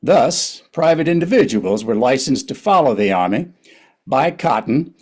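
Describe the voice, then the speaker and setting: A man's voice: mid-range voice, animated, a little slow, a little mellow, slightly rough. A man narrating a historical play in a scripted but conversational way.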